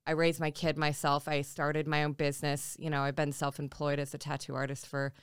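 A woman's voice: sits low, flat delivery, fairly quick, clean tone, no echo, clean studio sound. A woman in her forties from Nebraska, chatting reflectively as a podcast guest, with many fillers and restarts. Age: 40s. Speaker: podcast guest, tattoo artist and jiu-jitsu coach. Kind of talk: casual interview chat.

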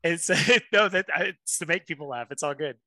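A pastor talking openly as a podcast guest, quick to laugh at himself. His voice sits on the higher side and sounds bright and forceful. The sound is close and dry, with no room around it. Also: no room sound. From Miami, USA. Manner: candid, self-deprecating, quick to laugh. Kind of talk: casual interview conversation.

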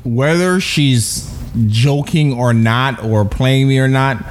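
A man's voice: mid-range voice, slow pace. A man with a mid-range voice talks in loose, casual chat, pressing his points hard and circling back on his words. He laughs easily between lines. A faint hum of background noise sits behind him. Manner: emphatic, repeats and restarts words, laughs often.

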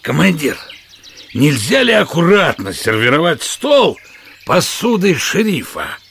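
A gravelly, animated, jovial outlaw. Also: male voice, on the high side, unhurried, slight background noise, heavily acted. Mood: sly, scheming. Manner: hearty, teasing, mock-humble servility with superiors. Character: big jovial crafty outlaw, Little John. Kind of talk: Russian audio drama acting.

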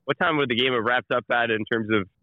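A man's voice: mid-range voice, fast talker, no echo. A man co-hosting a casual sports chat, blunt and profane. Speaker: sports podcast co-host. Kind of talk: casual sports chat over a phone line. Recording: tinny phone line.

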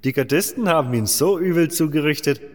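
A man's voice: a little high, a little fast, a little mellow, long reverb tail, voice pushed up, close to an everyday voice. A man voicing a crude, blunt male digger from a prison mine in an audiobook. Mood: scornful, crude. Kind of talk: audiobook character voice.

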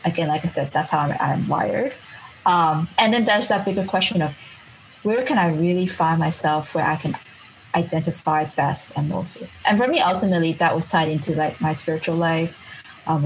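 Young woman from Singapore, low voice, chatty and reflective, faint background hiss. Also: low-quality recording. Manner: chatty, many fillers like "like", laughs often. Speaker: podcast guest. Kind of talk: reflective conversational storytelling.

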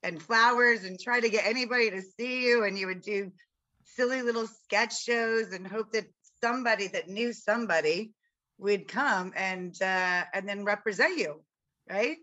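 Woman with a deep, raspy, bright voice. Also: moderate pace, dull audio. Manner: self-deprecating, chatty storyteller. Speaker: podcast guest, actress. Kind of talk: casual chat.

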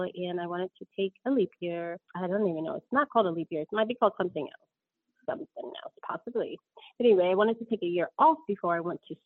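A woman's voice: medium pitch, dry recording. Rambling woman on a tinny line. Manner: rambling, frequent ums and self-corrections, laughs often. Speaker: guest. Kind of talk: casual interview storytelling.

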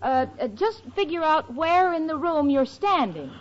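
A woman's voice: high voice, very animated, a little slow. An actress playing a wry, long-suffering young woman in a 1940s radio sitcom. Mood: impatient, coaxing. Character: exasperated, wisecracking young female roommate. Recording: muffled old radio, studio audience, hiss.

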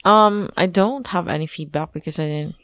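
Podcast co-host, female, low croaky voice, relaxed chat, dry close mic. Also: moderate pace, no echo. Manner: easy-going, laughs often. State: croaky, groggy voice. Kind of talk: casual chat.

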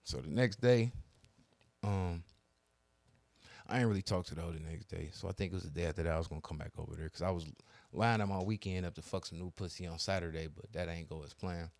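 Young man, casual podcast storytelling, profane, animated, lowish pitch, dry sound. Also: fast, gravelly, no echo. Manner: profane, animated storyteller acting out both sides. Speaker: podcast co-host.

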